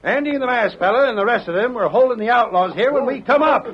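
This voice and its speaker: Casting: folksy, honest western townsman, high animated voice, dull old radio recording. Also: fast, a little hiss or hum. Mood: earnest. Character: plain-spoken fair-minded male townsman of the Old West. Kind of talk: 1940s radio western acting.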